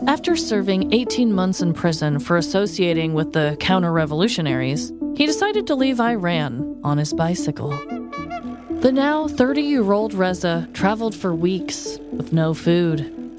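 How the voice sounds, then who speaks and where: A woman co-hosting a podcast, telling stories in an informal, expressive way. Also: fairly low pitch, normal speed, loud music behind the voice. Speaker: podcast co-host. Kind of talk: casual chat and scripted storytelling.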